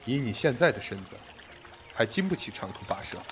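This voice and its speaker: A man's voice: mid-range voice, big pitch swings, fairly quick, fairly bright tone, slight background noise. A man acting a commanding, possessive sect master in an ancient Chinese audio drama, with an imperious, controlled delivery. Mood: firm, tender.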